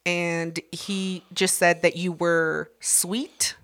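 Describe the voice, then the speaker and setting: A woman co-hosting a podcast with a bright, forward voice. She chats and teases her partner. Her delivery is relaxed and conversational. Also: lowish voice, very slow. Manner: teasing, chatty. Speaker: podcast co-host. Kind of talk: casual podcast banter.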